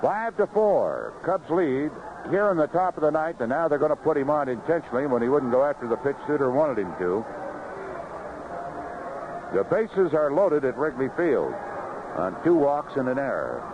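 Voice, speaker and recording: An old 1970s radio broadcast, muffled and full of noise, carries a man calling baseball play-by-play. His voice is fairly high and swings widely in pitch. He speaks in clipped phrases with pauses between pitches. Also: sing-song. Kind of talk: live radio sports commentary. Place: outdoor ballpark broadcast booth.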